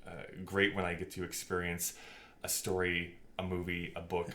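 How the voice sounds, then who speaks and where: Deep-voiced man, noisy recording. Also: monotone, normal speed, bright, forceful tone. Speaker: podcast co-host. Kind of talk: casual conversational discussion. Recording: clearly noisy background ambience.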